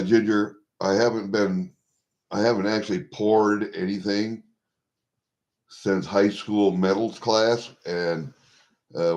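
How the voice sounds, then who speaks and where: A man in his sixties from Iowa with a Midwestern American accent, chatting to viewers in a rambling, halting, blunt and salty way. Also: sits low, darkish tone. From Iowa, USA. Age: 60s. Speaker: vlogger. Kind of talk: rambling casual chat to viewers. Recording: dull lo-fi home recording.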